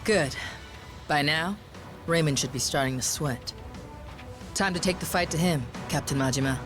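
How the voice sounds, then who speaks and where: Actor as higher-voiced confident pirate tactician. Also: male voice, moderate pace, music in the background, close to an everyday voice. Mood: steady, resolute. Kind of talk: video game cutscene acting.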